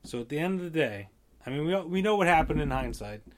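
A man's voice: on the high side, lively intonation, fast. A man co-hosting a casual chat, rambling and thinking aloud as he talks.

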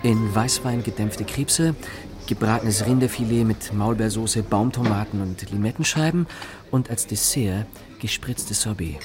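An actor playing a polite, insistent waiter in a radio play, speaking formally and attentively. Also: male voice, lowish voice, measured pace, music in the background, close to an everyday voice.

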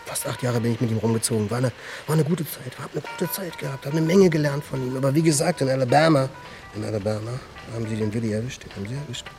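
A man's voice: medium pitch, quick pace, over music, close to an everyday voice. An actor playing a jittery, boastful young junkie thief in a crime radio drama, stammering and compulsively repeating his phrases. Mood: subdued, restless.

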